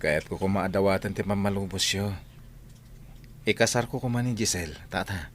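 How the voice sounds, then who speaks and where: A man's voice: low-pitched, moderate pace, a bit bright, a little hiss or hum. A man playing a polite, earnest young suitor in a comedy radio drama, speaking respectfully. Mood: earnest, pleading.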